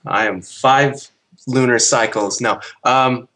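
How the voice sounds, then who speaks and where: A man in his late twenties from the United States, a guest on an interview podcast, calm with dry jokes. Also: mid-range voice, takes it slowly. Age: 20s. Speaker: guest artist. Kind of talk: casual interview chat.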